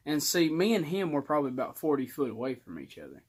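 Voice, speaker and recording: A man's voice: fairly high pitch, sing-song, quick pace, slightly rough. A young man telling a personal story in casual, colloquial speech with some swagger.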